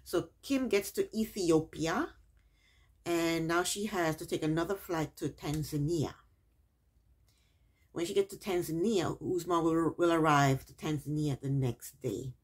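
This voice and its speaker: A woman's voice: sits low, unhurried, pauses often, husky. A woman recapping a reality TV episode in a casual, chatty way, with sarcastic asides and repeated punchlines.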